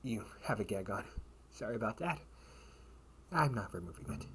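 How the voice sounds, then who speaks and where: A man playing a protective older brother and taunting captor in an amateur audio roleplay, sardonic and menacing, with casual, halting delivery. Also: on the low side, big pitch swings. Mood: mocking calm. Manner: casual, halting, mocking, breathy asides. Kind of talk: amateur audio roleplay acting, one-sided dialogue. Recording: noisy amateur home recording.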